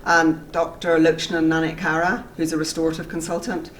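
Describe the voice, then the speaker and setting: A woman in her forties with a notably low voice talks in a friendly, measured way, often pausing on an um. She sits a little back from the microphone, with faint hiss behind her. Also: flat delivery, distant mic. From Belfast, Northern Ireland. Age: 40s. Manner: measured and friendly, frequent ums. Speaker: dental school consultant and tutor, interviewee. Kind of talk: relaxed interview chat. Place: dental school, indoors.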